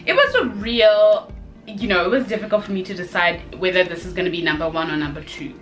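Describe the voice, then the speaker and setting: A young woman chatting casually in a book review video, expressive and given to emphatic repetition. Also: lowish voice, a little fast, soft background music.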